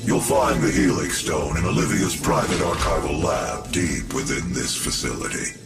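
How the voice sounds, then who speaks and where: A man playing a calm, calculating research director in a video game, gravelly and measured, giving instructions with grave authority. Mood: calm, instructing. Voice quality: deep, gravelly. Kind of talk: video game cutscene acting. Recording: echoing, loud music behind.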